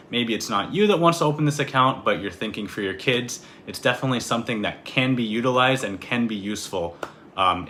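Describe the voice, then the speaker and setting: Bright-voiced young Canadian man explaining. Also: lowish voice, a little hiss or hum. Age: 20s. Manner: clear, steady explaining. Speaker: real estate agent. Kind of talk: scripted explainer video.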